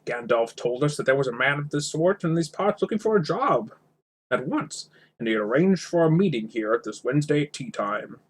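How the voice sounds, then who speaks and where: A man's voice: on the high side, lively intonation, fairly quick, slightly rough, voice pushed up. A man voicing a blunt, argumentative storybook dwarf in a brusque, businesslike manner. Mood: defensive, blunt. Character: blunt, argumentative male dwarf treasure hunter.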